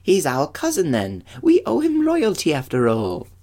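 A man voicing playful, eager young nymphs in a storybook, with breathy, lilting falsetto and gushing, repetitive speech. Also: wildly swooping pitch, a little slow, dark tone, full character voice. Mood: admiring, gossipy. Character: playful, eager young nymph sisters, female, admiring and amorous.

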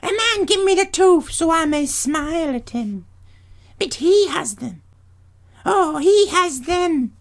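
A woman voicing ancient grey hag sisters in a storybook reading, shrieking and squabbling in a high, thin voice. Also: lively intonation, takes it slowly, heavily acted. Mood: pleading. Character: shrill ancient crone sisters, squabbling and whining.

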